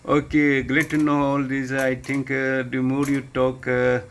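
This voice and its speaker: A man's voice: medium pitch, monotone, a little slow. A man with an Indian accent speaking very hesitantly in broken phrases during an unscripted ham radio contact. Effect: ham radio transmission. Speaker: amateur radio operator asking questions. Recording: amateur radio link with light noise.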